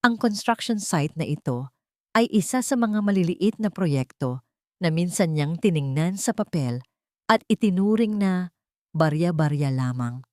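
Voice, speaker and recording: A woman's voice: lowish voice, lively intonation, unhurried, darkish tone, dry recording, studio quality. A woman with a Filipino accent giving a scripted, dramatic story narration.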